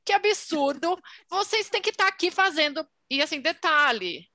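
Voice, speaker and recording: A woman's voice: extremely high pitch, lively intonation, measured pace, bright, forceful tone, no room sound, clean studio sound. A Brazilian woman in her twenties with a Brazilian Portuguese accent, co-hosting a podcast and telling a story in a chatty way, laughing often. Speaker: podcast co-host. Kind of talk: casual chat, storytelling.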